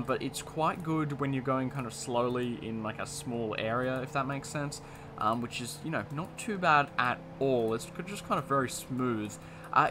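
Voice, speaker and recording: A man's voice: mid-range voice, noisy background. Chatty young man reviewing a game car. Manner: chatty, enthusiastic, many fillers and repeats. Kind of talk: casual gameplay commentary.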